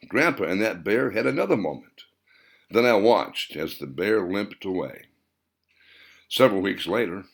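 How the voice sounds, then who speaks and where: Man voicing an earnest, nostalgic storyteller with lively swings. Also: fairly low pitch, creaky, breathy edge, exaggerated voice. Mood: earnest, tender. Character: earnest male storyteller recalling boyhood summers with Grandpa. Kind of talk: audiobook character monologue.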